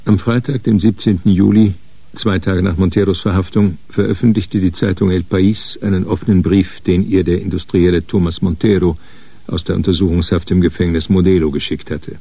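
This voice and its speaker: A man narrating a crime radio drama in a measured, dry storytelling manner. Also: sits low, fairly even intonation, vocal fry, mellow, slightly rough, no room sound, full character voice. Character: sober male narrator of a detective story.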